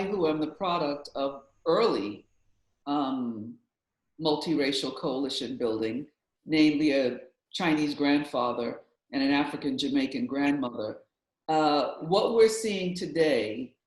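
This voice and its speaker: A man moderating a panel discussion, posing questions haltingly with many repeated words. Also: on the high side. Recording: echoey room.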